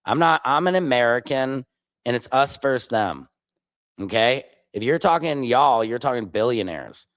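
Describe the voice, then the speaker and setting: A man's voice: mid-range voice, lively intonation, moderate pace, a bit bright, dry recording. A man hosting a political livestream, talking back heatedly to news clips and reading chat comments aloud from his home laptop setup. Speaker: livestream host.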